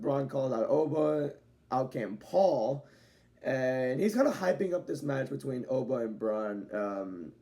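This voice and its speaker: A man's voice: mid-range voice, creaky, dark tone. A young man casually reviewing a wrestling show at home, chatty and rambling, with restarts and the odd swear word. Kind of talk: casual spoken review to camera. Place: home room with dogs nearby.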